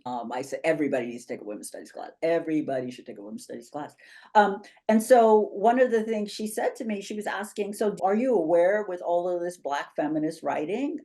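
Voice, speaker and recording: A woman, answering interview questions as a guest on a video call from her home office, articulate and animated. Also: sits low. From Bahamas. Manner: articulate, animated, gestures while speaking. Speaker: guest, professor and institute founder. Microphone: webcam on a video call.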